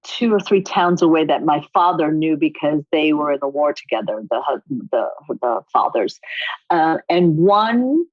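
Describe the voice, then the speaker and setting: A middle-aged woman from Rhode Island, a choreographer telling stories in a casual interview, expressive and quick to laugh. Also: deep voice, no room sound, lo-fi sound. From United States, Rhode Island. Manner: animated, expressive storyteller who laughs often. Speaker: guest choreographer.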